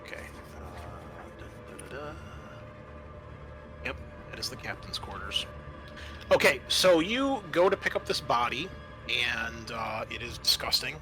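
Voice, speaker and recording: A man acting as game master, describing scenes in a conversational, improvised, halting way for a tabletop roleplaying game. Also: medium pitch, big pitch swings, over music.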